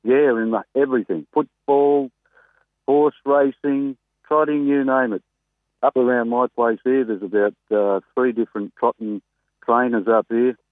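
An Australian man, a greyhound trainer, chatting casually and excitedly as a guest on a phone interview. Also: medium pitch, a little slow, no echo. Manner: chatty, rambling, excitable about racing. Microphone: telephone. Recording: tinny phone line.

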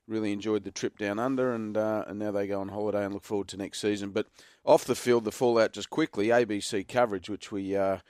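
A man with a croaky voice, giving measured football analysis on a radio segment. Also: fairly low pitch, fairly even intonation, darkish tone, no room sound, studio quality. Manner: measured, informative, self-correcting. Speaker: football pundit.

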